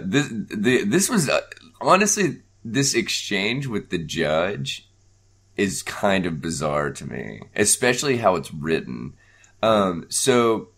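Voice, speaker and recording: A young man co-hosting a podcast in casual chat, laughing often and halting with fillers. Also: sits low, a bit bright. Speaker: podcast co-host.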